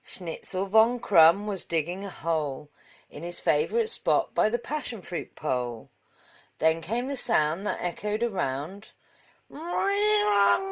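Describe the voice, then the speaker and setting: A woman reading a children's storybook aloud in measured, rhythmic verse. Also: lowish voice. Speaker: story reader.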